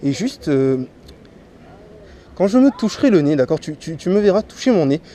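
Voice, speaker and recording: A man from Martinique with a slight head cold, working as a street hypnotist. He gives a volunteer slow, repeated commands that drop soft and low. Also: sing-song. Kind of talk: stage hypnosis patter with a volunteer. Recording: noisy crowd around the mic. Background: chattering crowd.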